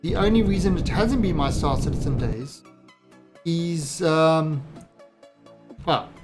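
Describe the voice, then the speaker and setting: A man's voice: on the high side, takes it slowly. Chatty South African man streaming a game. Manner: chatty, rambling, answers viewer chat. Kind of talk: casual chat while live-streaming a game. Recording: game music behind the voice.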